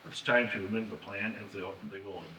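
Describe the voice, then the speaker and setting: A man's voice: on the low side, steady tone, fast, bright, forceful tone. A man answering questions at a public meeting, hesitant with many restarts. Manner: hesitant, restarts, says he is hard of hearing. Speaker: company representative. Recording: echoey hall, heard from a distance.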